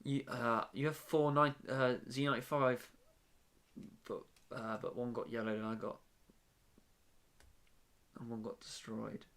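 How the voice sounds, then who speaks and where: A man's voice: medium pitch, flat delivery, measured pace, long pauses, a bit bright. A young man chatting casually on a live stream from his bedroom while he builds, rambling with lots of fillers and restarts.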